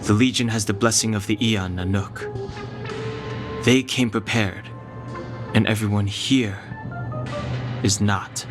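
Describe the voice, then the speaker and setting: A man's voice: fairly low pitch, fairly even intonation, takes it slowly, pauses often. An actor playing a sober, cautious young male space traveler in a video game cutscene. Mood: wary, serious. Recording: loud music bed underneath.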